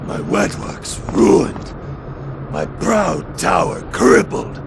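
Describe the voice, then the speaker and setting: Imperious villain lord, game role: wrathful, very wide swoops, drawn-out gravel delivery. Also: male voice, wildly swooping pitch, full character voice. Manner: grandiose, menacing, drawn-out. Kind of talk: video game voice acting. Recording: noisy rumbling ambience behind.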